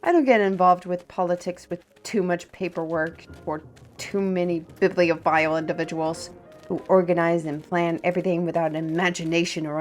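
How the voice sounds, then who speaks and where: A woman playing a feisty, proud fantasy artist in an audio roleplay, chatty and indignant, with theatrical, expressive delivery. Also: on the low side, lightly acted. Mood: dismissive, proud.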